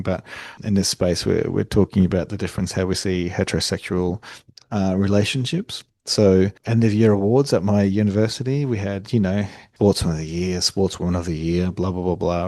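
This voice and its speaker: A young Australian man talks through a solo podcast episode in a low, mellow voice. He rambles casually, restarting sentences and padding with fillers, in a delivery he himself calls monotone. The sound is dry and close, with no room around it. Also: dry recording. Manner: conversational, self-described monotone, frequent fillers and restarts. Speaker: podcast host.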